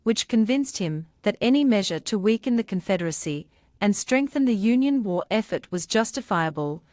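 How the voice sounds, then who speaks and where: Mid-pitched woman reading aloud, very dry. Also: animated, moderate pace, darkish tone, no echo. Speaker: reader of practice passages. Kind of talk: scripted read-aloud of an exam passage.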